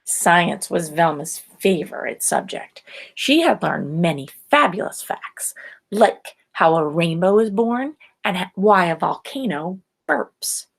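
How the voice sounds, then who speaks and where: A woman reading a children's story aloud in a deliberate, expressive and emphatic way. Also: on the low side, creaky, breathy edge. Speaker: storybook reader.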